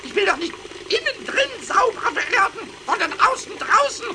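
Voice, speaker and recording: An actor playing a cheeky, stubborn little kobold in a children's radio play, childlike and boastful, whiny and excitable, repeating words for emphasis. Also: male voice, very high voice, moderate pace, exaggerated voice. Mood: whiny, panicked. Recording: noisy 1970s radio play recording.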